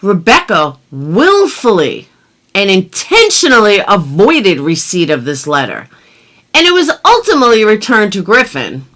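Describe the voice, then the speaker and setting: A woman hosting a commentary video, reading a document aloud with sarcastic, theatrical asides and drawn-out emphasis. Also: medium pitch, wildly swooping pitch, a bit bright.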